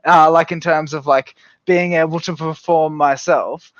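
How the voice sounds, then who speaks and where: A man's voice: fairly high pitch, steady tone, unhurried, no echo, highs cut off. A man in his twenties talking casually in a group voice chat, rambling and hedging with many fillers. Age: 20s. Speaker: podcast debate regular.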